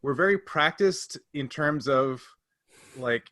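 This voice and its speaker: A man's voice: a little high, takes it slowly, fairly bright tone, dry recording, studio quality. A man co-hosting a podcast, casually thinking aloud as he explains his points. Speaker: podcast co-host. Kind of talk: casual discussion.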